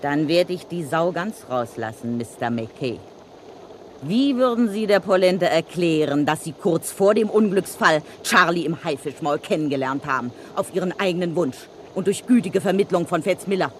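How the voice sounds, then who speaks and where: An actress playing a cocky, slangy widow of a crook who blackmails a murderer, in a vintage radio play. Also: female voice, on the low side, expressive, normal speed, a little hiss or hum, natural voice. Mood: scheming.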